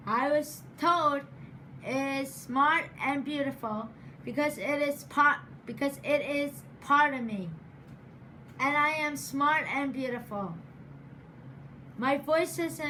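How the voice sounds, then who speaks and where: A woman giving a prepared speech in a deliberate, measured way, stuttering often with repeated sounds and words. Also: high voice, pushed and bright, a little hiss or hum, low-quality recording. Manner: stutters with sound and word repetitions. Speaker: program graduate giving a speech.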